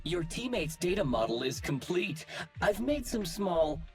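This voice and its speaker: An actor voicing a vengeful male AI villain who has seized a virtual system, speaking in a slow, menacing, taunting way in a game cutscene. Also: sits high, big pitch swings, soft background music, exaggerated voice. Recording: game soundtrack faintly behind.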